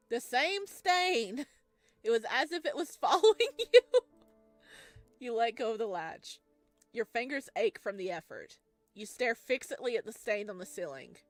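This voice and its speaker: A giggly, excitable woman reading a visual novel aloud with playful, joking commentary during a let's play. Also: medium pitch, no echo. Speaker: gaming content creator playing a visual novel.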